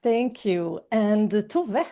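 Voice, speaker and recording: A woman presents a webinar over a video call. Her voice is mellow and warm, at a mid pitch. She speaks in a clear, upbeat way as she guides the audience through the session. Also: lively intonation, slow.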